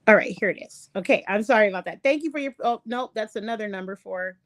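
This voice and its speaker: Halting woman lecturing from slides. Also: mid-range voice, no room sound, studio quality. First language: not English. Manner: halting, many ums and restarts. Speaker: online show host. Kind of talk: casual lecture reading from slides.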